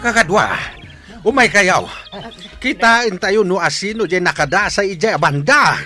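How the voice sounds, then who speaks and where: A man acting an excitable, distraught village man in an Ilocano radio drama, exclaiming and wailing. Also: sits high, unhurried, faint music behind, a faint crowd, full character voice. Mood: uneasy.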